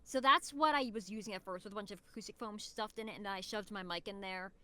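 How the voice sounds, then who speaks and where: A woman with a bright, very clear voice talks as a podcast guest. Her delivery is casual and conversational, at a medium pitch, like a voice actor describing their work. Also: fast, no room sound. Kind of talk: casual interview chat.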